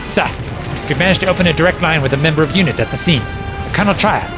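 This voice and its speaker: A man playing a hurried, deferential government aide relaying urgent calls in an audio drama. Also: a little high, over music, natural voice.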